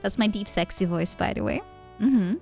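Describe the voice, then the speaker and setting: A woman's voice: medium pitch, normal speed, gravelly, darkish tone. A young woman streaming, chatting casually with viewers. She laughs often, thinks aloud with fillers, and is tipsy from wine. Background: faint background music.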